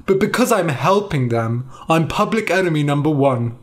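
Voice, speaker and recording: A storyteller voicing a volatile, soft-hearted male dragon outlaw who swings from threats to tears. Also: medium pitch, lively intonation, normal speed, lightly acted. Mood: aggrieved. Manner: swings between menacing and teary gushing. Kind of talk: storybook reading.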